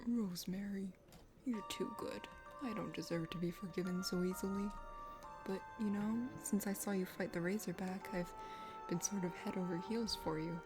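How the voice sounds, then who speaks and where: A woman voicing a contrite, insecure young dragon prince in a soft, breathy ASMR game reading. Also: mid-range voice, fairly even intonation, moderate pace, pitched below the performer's own voice, lightly acted. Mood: lovestruck. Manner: earnest, self-deprecating. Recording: soft music behind.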